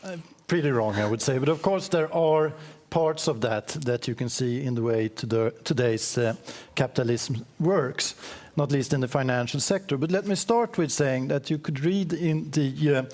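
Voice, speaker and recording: A man speaking as a panelist from banking in a panel discussion, in a measured, reasoned way. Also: medium pitch, a little mellow.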